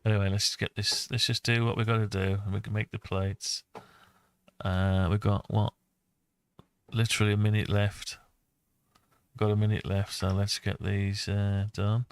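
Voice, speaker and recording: A man's voice: fairly low pitch, flat delivery, normal speed, clean tone, no echo. A man giving a casual think-aloud tutorial, muttering to himself as he talks through each step. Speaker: host of a CAD design tutorial.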